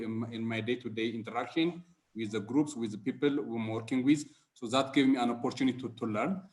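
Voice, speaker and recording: Male participant, mid-pitched voice, works through his points step by step. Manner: lists his points with fillers and restarts. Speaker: webinar participant. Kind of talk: spontaneous remarks in a webinar. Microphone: video call.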